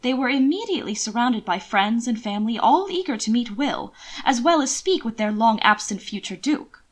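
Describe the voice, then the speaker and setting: A woman's voice: a little high, animated, moderate pace. A woman narrating a scripted story expressively, as an audiobook reader.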